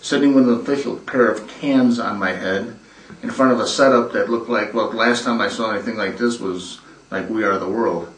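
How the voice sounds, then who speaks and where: A man who acts in a radio play, recalling his first time in a studio in a relaxed, chatty way. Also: fairly low pitch, normal speed, recorded from a distance. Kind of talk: casual behind-the-scenes interview. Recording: roomy, away from the mic.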